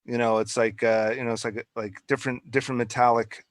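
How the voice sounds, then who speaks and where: A man hosts a casual podcast and thinks aloud, tripping over words as he goes. His voice is mid-pitched and recorded dry and close, with no room sound. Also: a little fast, no room sound. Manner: rambling, stumbling, animated. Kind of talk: casual interview talk.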